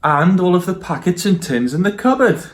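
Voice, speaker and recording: A man's voice: high voice, big pitch swings, normal speed, slight background noise. Man reading a children's storybook. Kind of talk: children's storybook reading and friendly address to young listeners.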